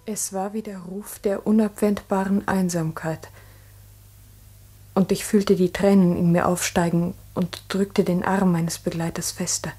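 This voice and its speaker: An actress playing a grave schoolteacher who narrates in a solemn, literary manner in a 1960s radio play. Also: female voice, on the low side, fairly even intonation, a little slow, warm tone, husky. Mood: sorrowful. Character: grave, sorrowful female schoolteacher recounting events. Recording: 1960s mono radio recording.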